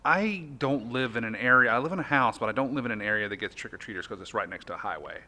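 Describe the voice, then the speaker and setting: A man's voice: mid-range voice, animated, quick pace, sharp tone. An American man hosting a casual podcast chat with playful, wry banter. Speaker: podcast host.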